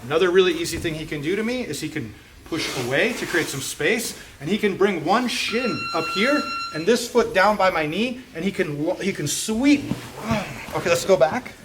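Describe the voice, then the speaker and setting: A middle-aged man teaching jiu-jitsu in an indoor gym, talking casually and a bit self-deprecating. His voice sits slightly high for a man. There is a faint hum of room ambience. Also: expressive, normal speed. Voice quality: chatty, self-deprecating, frequent fillers like "okay?" and "right?". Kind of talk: casual storytelling and technique instruction. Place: indoor martial arts gym with mats.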